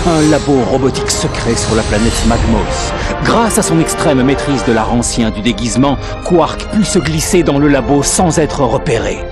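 A man giving mock-heroic, grandiose narration of a comic-book story in a game. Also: mid-range voice. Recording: game audio with loud music behind.

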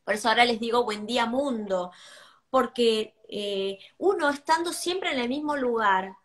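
Higher-voiced Argentine woman in her fifties. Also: normal speed. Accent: Argentine Spanish. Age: 50s. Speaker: guest. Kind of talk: casual chat. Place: room at home. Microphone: video call.

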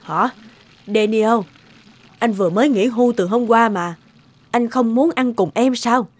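A gentle, wistful elderly wife. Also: female voice, on the high side, measured pace. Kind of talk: animated drama dialogue.

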